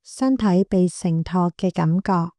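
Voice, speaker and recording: Very slow, mellow woman guiding meditation. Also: on the low side, no echo, clean studio sound. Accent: Hong Kong Cantonese. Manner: gentle, calm, unhurried instruction. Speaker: meditation guide, clinical psychologist. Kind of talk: guided body scan meditation.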